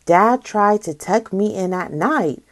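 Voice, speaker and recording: Female children's story reader: very slow, deliberate delivery with lively intonation, close dry sound. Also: medium pitch, no room sound. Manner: deliberate, expressive. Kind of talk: expressive picture book read-aloud.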